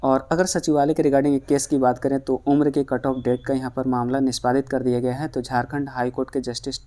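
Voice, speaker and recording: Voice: medium pitch, little pitch movement, brisk, dark tone. News presenter explaining updates. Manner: addresses listeners as friends. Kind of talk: explanatory news commentary for online viewers.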